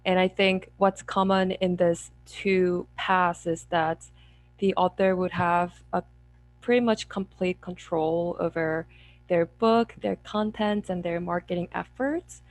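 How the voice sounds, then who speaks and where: A woman's voice: fairly low pitch, fairly even intonation, slow pace, no echo, rough recording. A woman from Korea, a memoir author speaking as a panelist in an earnest, organized way. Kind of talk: online panel discussion.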